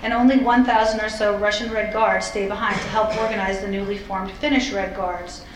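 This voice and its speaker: A woman giving a scripted history lecture in a measured, articulate way, with the occasional informal aside. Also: medium pitch, level tone. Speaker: lecturer presenting a historical talk. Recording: roomy echo with faint background noise.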